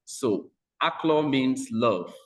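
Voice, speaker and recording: A man with a Nigerian accent and a medium-pitched voice presents in an online webinar. He is polite and takes his time, pausing often as he speaks into a video call. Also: lively intonation. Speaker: webinar speaker, editor and poet. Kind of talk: informal opening of an online presentation.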